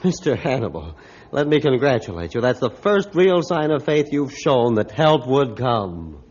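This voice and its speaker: An actor playing a grave, resolute ship's captain in a 1950s radio drama, speaking formally and solemnly. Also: male voice, mid-range voice, very animated, moderate pace, close to an everyday voice. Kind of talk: 1950s radio adventure acting. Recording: muffled old radio recording.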